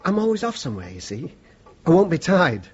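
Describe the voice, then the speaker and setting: A man's voice: a little high, huge pitch swings, brisk, darkish tone, husky, full character voice. An actor playing an easygoing, self-deprecating British working man in his sixties, deferential and a little hesitant, in a radio play. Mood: chatty, easygoing. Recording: dull, noisy radio play recording.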